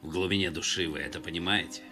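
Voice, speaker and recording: A deep voice with a hard bright edge comes over faint music: a middle-aged villain. He is insinuating and intimate, full of film-drama menace. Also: fairly even intonation, quick pace. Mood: menacing persuasion.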